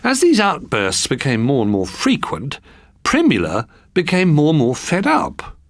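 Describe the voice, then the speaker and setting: An Englishman narrating a children's story in a wry, expressive storytelling manner. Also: on the high side, unhurried, no echo, studio quality. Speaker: storybook reader.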